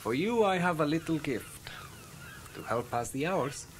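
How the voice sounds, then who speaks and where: An actor playing a gallant, idealistic South American adventurer with a Spanish accent and courtly, formal manners in a radio play. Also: male voice, on the high side, lively intonation, slight background noise. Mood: gallant, warm. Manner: courtly, formal, unhurried phrasing.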